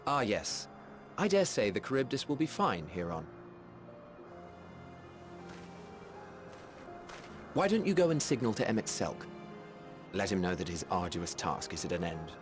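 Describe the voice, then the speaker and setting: An actor playing a genial, wry mage in a video game, speaking in eloquent, formal phrases with fond admiration. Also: male voice, on the low side, sing-song, over music. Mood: gently wry. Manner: eloquent, formal, measured storytelling. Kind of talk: video game cutscene acting.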